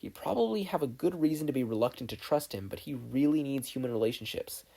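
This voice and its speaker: A narrator voicing a protective, steady young woman who talks in a firm, direct, reassuring way. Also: mid-range voice, quick pace, vocal fry. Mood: stern warning.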